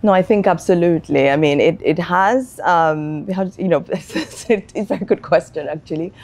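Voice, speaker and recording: Low-pitched woman, thoughtful interviewee. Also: moderate pace, a little mellow. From Nigeria. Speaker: academic interview guest. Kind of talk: reflective interview talk. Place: indoor interview set, seated.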